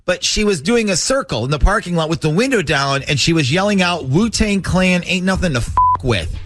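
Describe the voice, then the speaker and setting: A man from a radio show making a prank phone call, talking in a calm, matter-of-fact way with hesitant fillers. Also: high-pitched, fairly quick, no echo.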